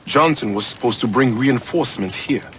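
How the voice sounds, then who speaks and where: A man's voice: mid-range voice, normal speed, a bit bright, slight background noise, natural voice. A man playing an earnest colonial ally in a video game, speaking seriously and formally. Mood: grave, concerned. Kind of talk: video game cutscene acting.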